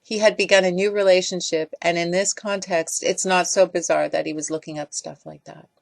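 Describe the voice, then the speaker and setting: A woman's voice: lowish voice, steady tone, a little fast, vocal fry, dry recording. A woman reading scripted commentary as a voice-over. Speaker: video creator doing voice-over commentary.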